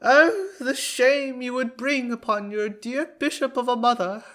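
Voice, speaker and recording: A man's voice: extremely high pitch, unhurried, sharp tone, exaggerated voice. An actor voicing a smug, scheming male noble with a goading, mock-sweet, haughty delivery in a visual novel scene. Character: smug, scheming young male noble villain, taunting and theatrical. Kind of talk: dramatic visual novel character acting.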